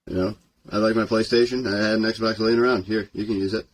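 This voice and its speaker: A man's voice: on the low side, fairly even intonation, fast, slight background noise. A young man co-hosting a casual podcast, chatting and teasing his co-host. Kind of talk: casual chat.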